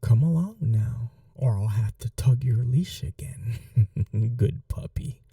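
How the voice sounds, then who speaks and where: A performer voicing a possessive vampire noble in an ASMR roleplay, speaking softly and slowly with a teasing, condescending cruelty. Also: mid-range voice, expressive, no room sound, studio quality, distant mic, voice pushed down. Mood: teasing, commanding. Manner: slow, soft, breathy, condescending teasing.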